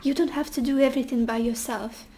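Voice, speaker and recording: High-voiced woman, hoarse, gentle tarot reader. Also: fairly even intonation, quick pace, noisy background. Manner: gentle, reassuring, addresses the viewer directly. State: losing her voice, hoarse. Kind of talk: casual pick-a-card tarot reading.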